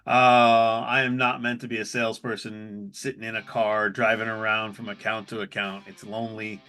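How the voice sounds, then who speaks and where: Bright-voiced man telling stories over guitar music. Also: medium pitch. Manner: candid, self-deprecating, conversational. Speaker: podcast host, chef. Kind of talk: casual solo podcast storytelling.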